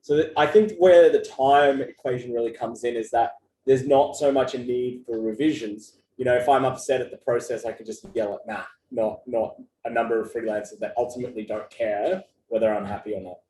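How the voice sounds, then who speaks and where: A man talks through his answers casually, pausing on fillers and restarting sentences as he goes. His voice sits in an ordinary middle pitch. The recording sounds roomy, with a faint hum of ambience behind him. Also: steady tone, warm tone. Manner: conversational, many fillers and restarts. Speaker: agency co-founder giving customer feedback. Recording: echoey room with faint ambience.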